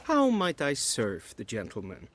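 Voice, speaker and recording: A man's voice: mid-range voice, huge pitch swings, a little slow, a bit bright, a little hiss or hum. An actor playing a prim male servant at an imperial court in a video game, speaking in a fussy, formal, deferential way.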